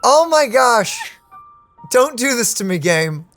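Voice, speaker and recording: A woman's voice: fairly high pitch, big pitch swings, slow pace, a bit bright. A woman doing casual game commentary, reacting openly and emotionally as she plays. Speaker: gaming streamer. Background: game music.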